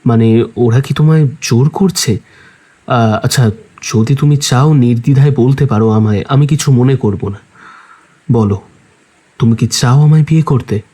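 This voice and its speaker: A man's voice: medium pitch, moderate pace, pauses often, dark tone, husky, natural voice. An actor playing a cheerful young man who is teasing yet shy, in an audio drama. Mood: earnest, tentative. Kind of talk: Bengali audio story acting.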